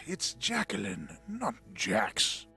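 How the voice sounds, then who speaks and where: A man's voice: on the low side, huge pitch swings, extremely slow, creaky, pushed and bright, soft background music, exaggerated voice. An actor playing a defensive, sarcastic lawyer sparring with his mother-in-law in a drama, incredulous in manner. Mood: defensive, exasperated. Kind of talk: soap-style drama dialogue.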